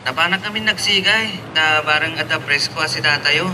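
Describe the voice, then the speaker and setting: An actor as a young Ilocano man, a concerned big brother with a fairly high voice. His lines are acted in audio drama style. Clear noise sits behind him. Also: moderate pace, close to an everyday voice.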